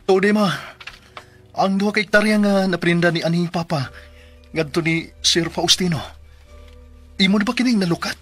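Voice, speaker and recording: Earnest young son in radio drama, husky but forceful, declaiming, music under it. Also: male voice, high-pitched, moderate pace, long pauses. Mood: questioning. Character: earnest, defiant young son returned to his hometown. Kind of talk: Philippine radio drama acting in Cebuano.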